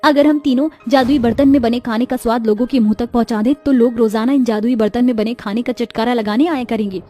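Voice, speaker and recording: A woman's voice: a little high, steady tone, fast talker, dark tone, soft background music, pitched above the performer's own voice, close to an everyday voice. A woman voicing a poor young village daughter-in-law in a cartoon story, earnest and pleading in a dramatic, plaintive way. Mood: hopeful. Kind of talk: Hindi moral cartoon story acting.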